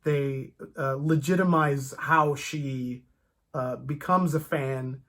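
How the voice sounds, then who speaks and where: A podcast host chatting casually as a fan, in an animated, rambling, stop-start way with playful asides. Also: medium pitch, slow pace. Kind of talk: casual comic book fan chat.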